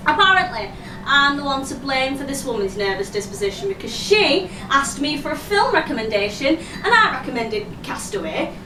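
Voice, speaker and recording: A woman's voice: high voice, big pitch swings, normal speed. An actress playing a rueful, sardonic laid-off flight attendant in her fifties, with a Yorkshire accent, in a theatrical monologue. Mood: wry, sardonic. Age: 50s. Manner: wry, confessional, sweary. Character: rueful fifty-two-year-old laid-off flight attendant, sardonic and earthy. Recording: roomy, distant mic, loud background noise.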